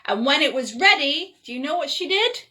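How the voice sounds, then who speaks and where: A woman reading a children's story aloud in an expressive, whimsical way, with chatty, playful asides. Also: high voice, normal speed. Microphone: phone.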